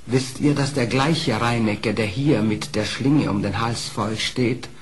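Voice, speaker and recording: An actor playing a sly, smooth-tongued fox in a radio play, speaking in a formal, theatrical, pleading manner. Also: male voice, mid-range voice, measured pace, lightly acted. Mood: crafty pleading. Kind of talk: classic German radio play acting. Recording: old radio play record, noisy.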